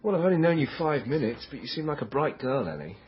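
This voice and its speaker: An actor in a radio drama playing an amiable, sensible male lawyer on a date, polite and measured in manner. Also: mid-range voice, big pitch swings, highs cut off, close to an everyday voice. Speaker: lawyer character. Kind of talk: naturalistic radio drama acting.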